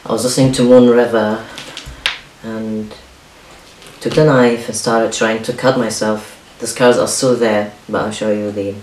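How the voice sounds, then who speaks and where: A young man whose first language is Arabic, talking in a halting, hesitant way with many restarts in a confessional home vlog. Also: lowish voice, little pitch movement. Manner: halting, hesitant, soft-spoken with frequent restarts. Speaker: vlogger. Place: small home kitchen, indoors. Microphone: camera on a stand a few feet away. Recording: roomy distant camera mic with faint hiss.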